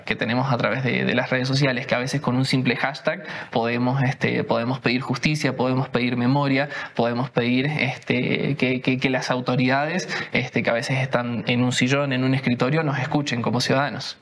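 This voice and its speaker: An Argentine man speaking as an interview guest, with a voice sitting mid-range for a man. He answers slowly and haltingly, circling back with filler words and restarting phrases. The tone stays conversational and earnest. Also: mid-range voice, little pitch movement, a bit bright, a little hiss or hum. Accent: Argentine Spanish. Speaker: guest journalist.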